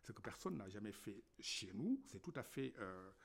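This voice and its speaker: Lively, halting man speaking. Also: lowish voice, creaky, slightly rough. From Senegal. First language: Wolof. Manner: halting, many fillers and repeated words. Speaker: novelist and university lecturer. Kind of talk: reflective panel talk.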